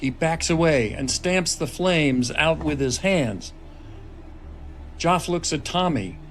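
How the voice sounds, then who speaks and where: A man's voice: on the high side, lively intonation, slow pace, a bit bright. A man reading stage directions as scripted dramatic narration at a screenplay table read. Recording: noisy table-read room recording.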